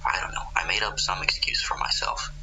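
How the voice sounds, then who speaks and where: A man playing a haunted fire lookout in a radio horror drama, recounting events in a halting, breathy, confessional monologue. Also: huge pitch swings, rough recording. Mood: uneasy, subdued. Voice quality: low, gravelly, breathy. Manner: halting, breathy, half-whispered. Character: haunted male fire lookout recounting his wife's eerie death.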